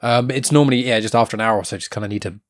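A man in his twenties hosting a podcast interview, chatty and quick to laugh, his voice a little croaky. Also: on the low side, dry recording, clean studio sound. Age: 20s. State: slightly croaky, losing his voice. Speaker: podcast host. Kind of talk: casual interview chat.

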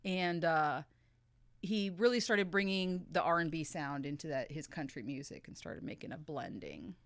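Low-voiced woman podcast host, dry. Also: lively intonation, moderate pace, no room sound. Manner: dry, matter-of-fact storyteller. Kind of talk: casual chat, reading history notes.

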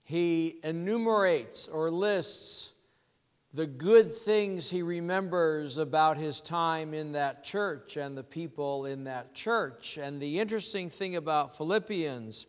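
A congested male pastor from California preaching a sermon, explaining scripture in a measured teaching manner. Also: fairly high pitch. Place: church sanctuary. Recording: long reverb in a church hall.

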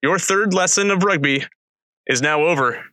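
A man's voice: a little high, moderate pace, pushed and bright, no room sound, studio quality. A man hosting a casual sports podcast, explaining rules like a teacher and chatting with a guest. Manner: friendly, teacherly, with fillers. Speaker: podcast host. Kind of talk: casual podcast interview and rugby lesson.

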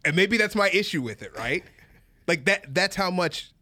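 A man talks in an animated, casual way, often repeating himself and laughing. His voice sits a little higher than most men's. The recording is dry and close, with almost no room sound. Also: normal speed, no echo. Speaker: podcast co-host. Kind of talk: casual chat.

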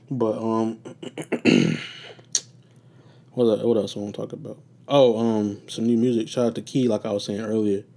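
Young male host, casual profane solo talk, tired and a bit raspy, dark mellow timbre. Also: mid-range voice. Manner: loose, profane, meandering with many fillers. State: getting raspy and sleepy. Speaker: podcast host.

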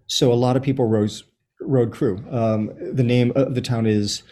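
A man in his fifties with an American accent, answering interview questions in a thoughtful, halting, storytelling way. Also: mid-range voice, fairly even intonation, warm tone. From Los Angeles, USA, born in Chile. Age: 50s. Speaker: interview guest, soccer writer and supporter. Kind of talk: reflective conversational interview answers.

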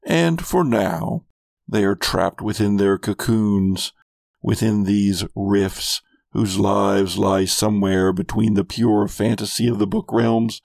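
A man's voice: fairly low pitch, creaky, a little mellow, no room sound, clean studio sound, exaggerated voice. A man voicing a scheming, contemptuous immortal villain in a grandiose, brooding monologue for a fiction podcast.

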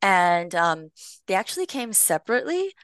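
A young woman from Long Beach, California, a podcast guest telling her story in a relaxed, playful way. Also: medium pitch, normal speed, clear, resonant tone, dry recording. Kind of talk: casual conversation.

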